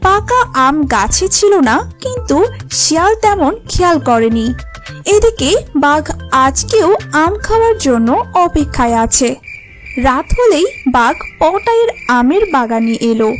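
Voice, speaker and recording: Casting: female storyteller for children's cartoons, bright-pitched and very varied in melody. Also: very animated, a little slow, soft background music. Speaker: narrator.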